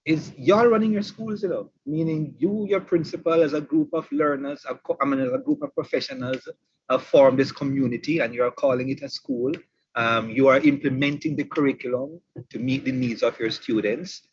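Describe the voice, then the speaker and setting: A man's voice: medium pitch, normal speed, slightly rough, highs cut off. A man hosting an online session for teachers. He is chatty and teasing, and he repeats his points. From Jamaica. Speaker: webinar host. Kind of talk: online professional development session.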